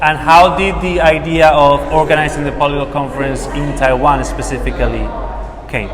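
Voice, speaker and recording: A man's voice: fairly high pitch, steady tone, moderate pace. A man interviewing a guest, encouraging and engaged, with constant murmured backchannels. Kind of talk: live interview at a conference. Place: large busy conference hall. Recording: reverberant hall with crowd. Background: crowd chatter.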